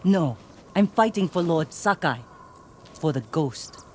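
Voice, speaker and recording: A woman's voice: on the low side, expressive, slow, a little mellow, a little hiss or hum. A woman playing a tough, protective older sister and fighter in a video game, with blunt, clipped delivery. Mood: defiant. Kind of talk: dramatic video game acting.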